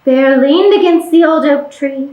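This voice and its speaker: A woman reading a picture book aloud to children as a storytime host, with expressive, deliberate delivery. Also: high-pitched. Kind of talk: animated children's read-aloud. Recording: echoey room.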